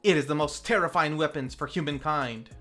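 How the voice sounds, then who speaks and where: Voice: mid-range voice, fairly quick, a bit bright, soft background music, natural voice. A film reviewer voicing an obsessed male evil scientist in an emphatic, declaiming way. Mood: ominous.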